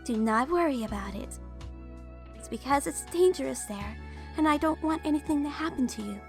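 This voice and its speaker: A gentle young mother, acted by a woman, speaks in a high, affectionate voice with a worried streak. Faint music plays behind her. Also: lively intonation, moderate pace. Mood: tender, worried. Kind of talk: fan audio drama acting.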